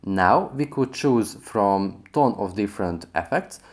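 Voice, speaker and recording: A man with a fairly low voice presents a how-to video. He narrates step-by-step app instructions as the host, addressing viewers directly. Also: expressive, takes it slowly.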